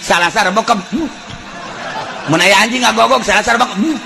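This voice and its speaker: A man with a Sundanese accent preaching in an animated, earthy, joking storytelling style. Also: high voice, brisk, creaky, background noise. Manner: animated, breaks into shouts and laughter. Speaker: Islamic preacher. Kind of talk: humorous storytelling sermon.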